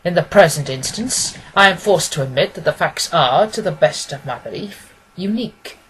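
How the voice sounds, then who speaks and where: A man voicing a brisk, commanding, courteous gentleman detective from a storybook, speaking formally and emphatically. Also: fairly high pitch, lively intonation, slight background noise, poor audio. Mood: judicial, assured.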